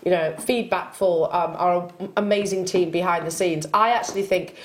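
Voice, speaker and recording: A woman's voice: fairly low pitch, fairly even intonation, moderate pace, low-quality recording. A woman with a Welsh accent presenting a live TV shopping show, chatty and upbeat as she talks with guests and greets viewers. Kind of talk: live TV selling chat. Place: TV studio.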